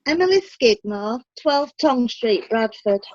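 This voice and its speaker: High-voiced, blunt Yorkshire widow played by a woman. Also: animated, takes it slowly, darkish tone, dry recording, dull audio. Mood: blunt, indignant. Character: blunt, fierce working-class Bradford widow giving evidence. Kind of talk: comic courtroom play acting.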